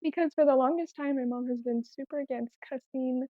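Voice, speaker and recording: A woman's voice: sits high, fairly quick. A woman whose first language was Spanish, telling personal stories casually and candidly as a podcast guest. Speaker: podcast guest, small business owner. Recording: roomy, echoey, slightly dull sound.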